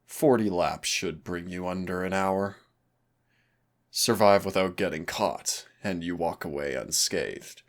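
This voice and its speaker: Deep gravelly teasing demon played by a man. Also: a little slow. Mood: predatory, playful. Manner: taunting, commanding, mock-courteous. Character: teasing, predatory male demon hunter-trainer, smug and dangerous. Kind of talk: scripted roleplay audio acting.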